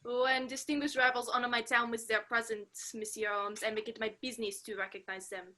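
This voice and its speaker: A woman playing a smoothly polite, sly male French police inspector, courteous and formal, in a radio play. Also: a little high, flat delivery, brisk, sharp tone. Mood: knowing. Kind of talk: 1940s radio play acting.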